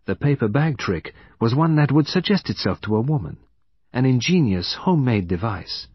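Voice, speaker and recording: A man voicing a shrewd, gentle detective who explains a crime in a measured, courteous way, in an audiobook. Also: mid-range voice, very animated, husky, no echo, highs cut off, pitched above the performer's own voice. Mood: methodical explaining.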